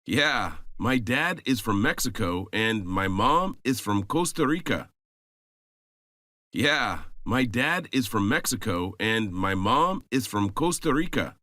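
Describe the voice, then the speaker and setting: A man playing a helpful, friendly classmate in a slow scripted dialogue for English learners, saying each line twice. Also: fairly low pitch, animated, bright, forceful tone, dry recording, studio quality, exaggerated voice.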